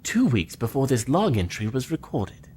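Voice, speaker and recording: A man's voice: medium pitch, very animated, normal speed, mellow, breathy edge. A man playing an eager young male engineering lieutenant who answers his captain readily in a fan audio drama. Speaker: engineering officer.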